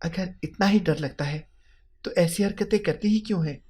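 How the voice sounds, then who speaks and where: A man's voice: sits high, normal speed, a little mellow, breathy edge, pitched above the performer's own voice. Man reading a stern, suspicious young daughter-in-law. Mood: bitter. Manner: firm, questioning, bitter. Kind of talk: dramatic storytelling.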